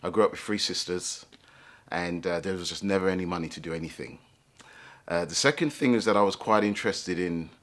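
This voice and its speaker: A man, telling his own life story in a reflective, halting way with many fillers and restarts. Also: low-pitched, fairly bright tone. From England. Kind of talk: personal life story told to camera.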